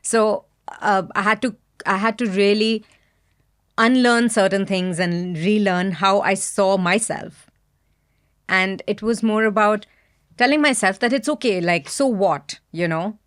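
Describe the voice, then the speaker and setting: A woman's voice: mid-range voice, measured pace. A woman from India with an Indian accent, speaking candidly and thoughtfully as a podcast guest. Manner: soft-spoken, candid, thoughtful. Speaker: guest, business founder. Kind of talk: reflective podcast interview.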